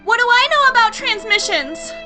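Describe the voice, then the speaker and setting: A woman's voice: very high voice, lively intonation, normal speed, over loud music. A woman playing a frantic, exasperated space heroine in a comic sci-fi audio drama, urgent and exclamatory. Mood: frantic exasperation.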